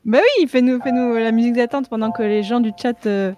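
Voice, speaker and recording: A woman's voice: a little high, fairly even intonation, brisk, mellow. A woman player in an improvised tabletop game, chatty and teasing. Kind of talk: improvised roleplay banter.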